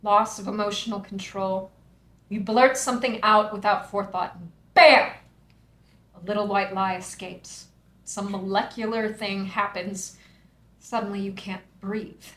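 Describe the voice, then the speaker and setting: A woman's voice: mid-range voice, steady tone, measured pace, a bit bright, natural voice. A woman playing a blunt, wry, exasperated actress in a screenplay table read. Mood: exasperated, theatrical. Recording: roomy echoing table-read recording.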